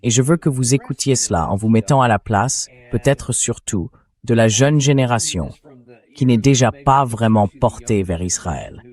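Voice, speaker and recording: A man's voice: mid-range voice, moderate pace, a little mellow. A man's synthetic voice reading a translated voice-over as the host of a political discussion show.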